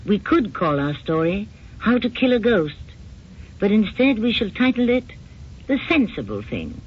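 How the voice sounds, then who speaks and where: High-pitched theatrical male radio host. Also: sing-song, a little hiss or hum. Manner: theatrical, portentous, measured. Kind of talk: dramatic scripted anthology introduction. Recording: muffled old radio broadcast recording.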